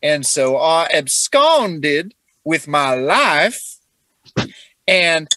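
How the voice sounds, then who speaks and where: A man voicing a lewd, old-fashioned Southern gentleman with a florid, courtly drawl in an improvised comedy role-play. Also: on the high side, big pitch swings, extremely slow, no room sound, exaggerated voice. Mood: grandiose.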